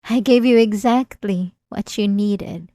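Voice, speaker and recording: A woman playing an obsessed young maid in a scripted ASMR roleplay, devoted and quietly menacing, with formal, composed, intimate delivery. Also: medium pitch, slow pace, no room sound, studio quality, natural voice. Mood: knowing, coaxing.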